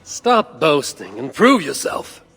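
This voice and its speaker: Husky, blunt Viking warrior. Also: male voice, fairly high pitch, wildly swooping pitch, slow, a bit bright, faint music behind, full character voice. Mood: dry, challenging. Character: blunt, hot-headed male Viking warrior. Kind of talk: video game cutscene acting.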